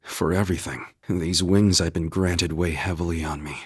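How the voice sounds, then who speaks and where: An actor playing an earnest, steadfast young warrior-knight in a video game, speaking in a solemn, measured, formal manner. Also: male voice, low-pitched, steady tone, husky, dry recording, clean studio sound. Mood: heartfelt, resolute. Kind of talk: dramatic English video game voice acting.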